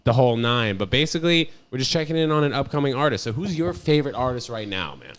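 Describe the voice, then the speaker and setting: A male podcast host with a medium-pitched voice. He talks in a loose, informal, slangy way, interviewing as if chatting with a buddy. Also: sing-song, quick pace. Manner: slangy, informal, addresses guest as bro. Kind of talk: casual chat interview.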